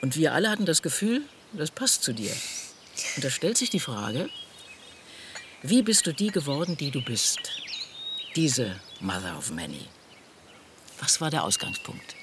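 A man's voice: fairly high pitch, very animated, unhurried, pauses often, noisy background. A man playing an intellectual friend in a radio play, asking searching questions in an articulate, thoughtful way. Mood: curious, probing. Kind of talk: scripted radio play dialogue.

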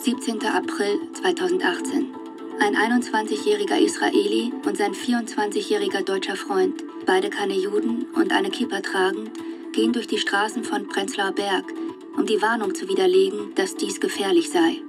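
A woman reading a scripted documentary narration for a radio play in a factual, measured way. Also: mid-range voice, steady tone, lightly acted. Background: music.

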